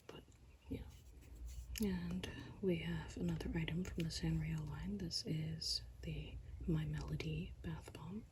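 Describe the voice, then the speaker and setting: A soft-spoken ASMR host, whispering slowly through a product haul with a tired, strained voice. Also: mid-range voice, little pitch movement, noisy background. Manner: mostly whispered, slow and hushed.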